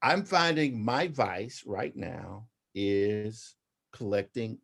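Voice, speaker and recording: A man's voice: on the low side, slow pace, gravelly, fairly bright tone. A middle-aged man answering as an interview guest, conversational and earnest, repeating phrases for emphasis. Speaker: interview guest, graphic novelist and artist.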